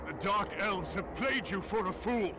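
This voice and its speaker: Man playing an urgent, very high-voiced game hero. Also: normal speed, background noise, close to an everyday voice. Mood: urgent warning. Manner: dramatic, urgent. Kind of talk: video game cutscene voice acting.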